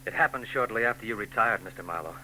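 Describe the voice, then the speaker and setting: Shaken artist, earnest, forceful voice, hummy old radio recording. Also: male voice, lowish voice, fast talker, a little hiss or hum, low-quality recording. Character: shaken male artist recounting his ordeal. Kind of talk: 1940s radio drama acting.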